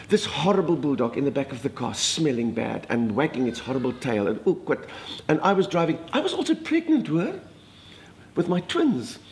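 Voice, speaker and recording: A man's voice: a little high, darkish tone, slight background noise. A man playing a chatty older woman with an Afrikaans South African accent, a minister's wife telling a dramatic, exclamatory story. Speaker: comedic monologist. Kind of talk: comic storytelling monologue.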